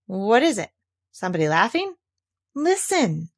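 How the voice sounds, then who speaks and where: A woman voicing an excited, kindly little boy in a children's storybook, with an energetic, excitable manner. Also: medium pitch, a little slow, no echo, studio quality. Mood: excited, concerned. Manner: excitable, bright-spirited. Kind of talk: children's storybook character reading.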